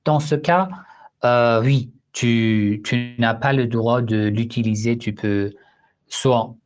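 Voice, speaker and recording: Slow, deliberate man, hosting an online religious Q&A; patient explainer, hesitant fillers. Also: medium pitch, steady tone. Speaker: Islamic Q&A host. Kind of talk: live online Q&A answering listeners.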